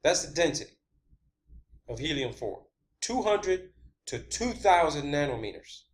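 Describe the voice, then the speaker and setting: A man's voice: a little high, sing-song, a little slow. A man hosting his own video channel, talking off the cuff in an emphatic, explanatory monologue and repeating phrases for stress.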